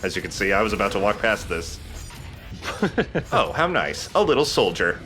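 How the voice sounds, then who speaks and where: A man's voice: on the low side, big pitch swings, normal speed, pushed and bright, faint music behind. A man hosting a let's play, giving casual commentary while he plays. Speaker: let's play host and player.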